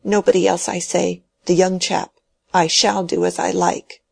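A woman's voice: on the low side, flat delivery, unhurried, gravelly, husky, dry recording, heavily acted. A woman doing a shaky, insistent dying old man. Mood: agitated. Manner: pleading and commanding, voice shaking.

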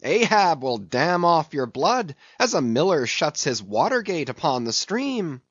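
A man's voice: medium pitch, sing-song, slow pace, fairly bright tone, no echo, muffled recording. A man voicing a jolly, reckless ship's officer on a whaler in an audiobook, boisterous and defiant. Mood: fierce glee. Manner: exclamatory, boisterous, salty. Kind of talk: audiobook character voice.

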